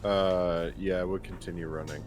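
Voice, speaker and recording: Deep-voiced man playing a tabletop game. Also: little pitch movement, moderate pace, darkish tone. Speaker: roleplaying game player. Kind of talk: casual chat during a tabletop game. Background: background music.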